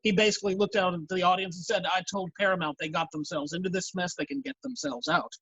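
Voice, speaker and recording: A man's voice: high-pitched, fast, vocal fry, bright, forceful tone, slightly rough. A man chatting casually as a voice actor on a panel, telling stories. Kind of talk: casual chat, telling anecdotes. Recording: dull, lo-fi call audio.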